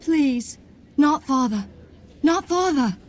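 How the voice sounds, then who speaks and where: A woman's voice: sits high, lively intonation, takes it slowly, a little mellow. A woman voicing a proud, bitter young noblewoman in a video game, dramatic and vehement. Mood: pleading.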